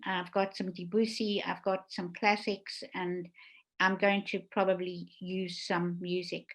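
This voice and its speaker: A woman hosts a casual online panel with a mid-pitched voice. She speaks effusively and conversationally, like a theatre director addressing guests and audience. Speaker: host, theatre producer and director. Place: indoors, online video call.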